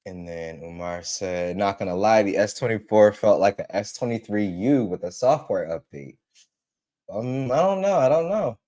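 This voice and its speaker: Chill tech stream host guy, kinda low voice, stuffy nose, says everything twice. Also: expressive, moderate pace, warm tone. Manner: laid-back, repeats phrases, greets viewers by name. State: congested from allergies. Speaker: livestream host. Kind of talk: casual tech livestream chat.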